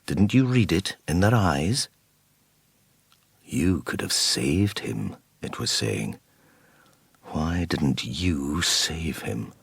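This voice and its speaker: Casting: brooding, haunted psychic detective narrator, very low husky voice, radio play. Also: male voice, lively intonation, very slow, pauses often, no room sound, full character voice. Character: haunted, troubled male psychic detective narrating his story. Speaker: narrator and lead character. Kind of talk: radio drama first-person narration.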